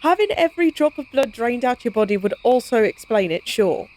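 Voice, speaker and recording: A woman's voice: on the high side, sing-song, a little fast, a little mellow, poor audio. A woman playing a playful, cocky, science-obsessed vampire in an intimate audio roleplay, speaking in a casual, teasing, wry way.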